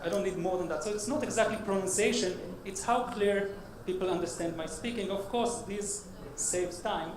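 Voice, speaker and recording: A man with a Hebrew accent from Israel giving a startup pitch at a conference, halting and full of uh fillers. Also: a crowd in the background. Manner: halting, many uh fillers and restarts. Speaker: startup CEO presenting. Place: conference hall stage. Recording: echoey hall with noisy background.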